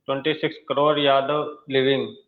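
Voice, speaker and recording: A man in his twenties from northern India speaks English with an Indian accent; Hindi is his first language. He talks haltingly, with many fillers. The call sounds tinny and narrow, like a phone line. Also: mid-range voice, level tone, echoey room. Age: 20s. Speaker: English learner. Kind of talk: casual video-call conversation practice. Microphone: video call.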